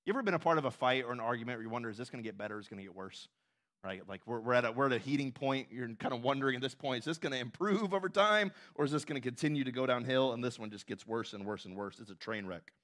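A man's voice: mid-range voice, racing. A man preaching a sermon as pastor, conversational, often asking "right?" and acting out quotes with a raised voice. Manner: animated, acts out quotes with raised voice.

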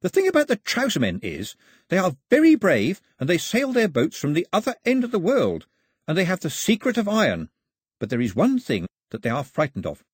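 A man's voice: high-pitched, huge pitch swings, a little fast, breathy edge, dry recording, clean studio sound, voice pushed up, exaggerated voice. A man voicing a chatty, cheerful young islander in a storybook, eagerly explaining things with emphasis. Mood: eager, confiding. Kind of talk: storybook character dialogue.

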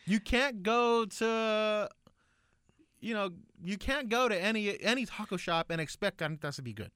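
A man's voice: high-pitched, animated, normal speed, sharp tone, clean tone, no echo, clean studio sound. A man co-hosting a casual food chat, talking in a laid-back, slangy way. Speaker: podcast co-host.

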